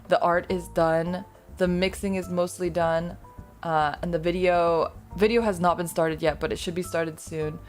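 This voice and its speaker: A woman streamer chatting casually with her viewers, rambling and self-deprecating, laughing often. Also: lowish voice.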